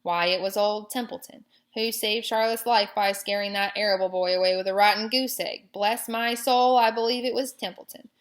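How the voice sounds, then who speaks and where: A woman's voice: medium pitch, fairly even intonation, moderate pace, few pauses, close to an everyday voice. A woman voicing a lazy, grumbling male rat who talks in a sarcastic, mocking way.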